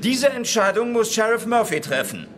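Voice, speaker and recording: An actor playing a zealous hard-line state prosecutor making official, forceful speeches in a German radio drama. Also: male voice, very high-pitched, big pitch swings, moderate pace. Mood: official, determined.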